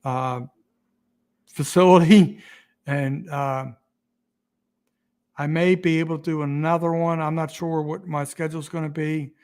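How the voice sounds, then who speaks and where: A man hosting a casual livestream, rambling and halting with many restarts, his voice getting raspy. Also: fairly high pitch, fairly even intonation, darkish tone. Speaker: YouTube channel host.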